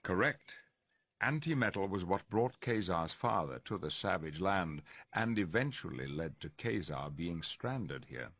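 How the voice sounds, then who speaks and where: A wise team leader in a video game. His low voice is formal, measured and authoritative. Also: expressive, a bit bright, husky, full character voice. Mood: informative. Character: composed, authoritative professor leading a hero team. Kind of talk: video game cutscene acting.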